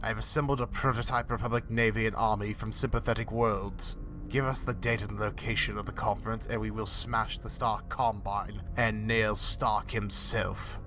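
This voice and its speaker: A man portrays an ambitious general with a fairly deep voice. He is clipped and threatening, giving orders in a comic dub over faint music. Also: little pitch movement, normal speed, close to an everyday voice. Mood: menacing, ambitious.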